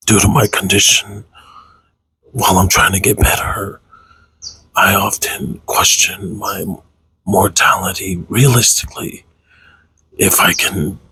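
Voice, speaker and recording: A man with a deep, forceful-edged voice that is strongly husky and breathy. He speaks in a halting, reflective way, as in a confessional vlog. His sentences come slowly and hesitantly. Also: no echo, low-quality recording. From United States, raised Tongan American. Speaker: content creator, father.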